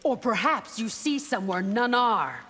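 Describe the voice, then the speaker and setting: A woman playing a devout, steadfast palace guard in a fighting game, firm and measured. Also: a little high, sing-song, a bit bright, big-room sound, slight background noise. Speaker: video game character. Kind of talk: dramatic fighting game dialogue.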